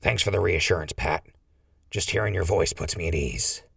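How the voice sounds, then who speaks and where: A guy as a husky low-voiced ghoul crew leader, wry schemer, audio drama. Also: normal speed, a bit bright, no room sound, clean studio sound, heavily acted. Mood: coaxing. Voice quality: gravelly. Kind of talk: post-apocalyptic audio drama acting.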